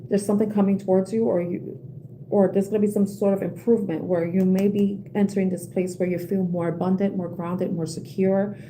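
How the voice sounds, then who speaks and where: A young woman giving a collective tarot reading with a soothing voice, talking gently and rambling with many fillers and restarts. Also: fairly low pitch, a little hiss or hum, low-quality recording. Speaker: tarot reader.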